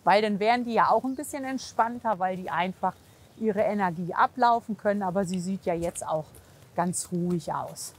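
A woman's voice: mid-range voice, normal speed, breathy edge. A woman speaking casually and reassuringly as a horse physiotherapist, coaching and commenting. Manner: encouraging, reassuring, with frequent praise. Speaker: horse physiotherapist assessing horse and rider.

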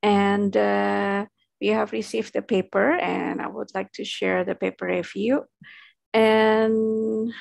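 A middle-aged woman with an Indonesian accent reviews academic papers on a headset video call. Her voice is strongly gravelly with heavy vocal fry, and the sound is dry and close. She moves between English and Indonesian with frequent filler pauses. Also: medium pitch, no echo. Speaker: journal editor reviewing papers at an online lecture. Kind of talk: giving paper review feedback.

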